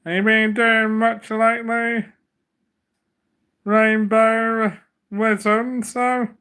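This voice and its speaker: A man's voice: sits high, flat delivery, drawn-out words, pushed and bright, smooth. A man hosting a casual livestream, rambling and repeating himself, with a slight cough from a cold. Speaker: livestream host.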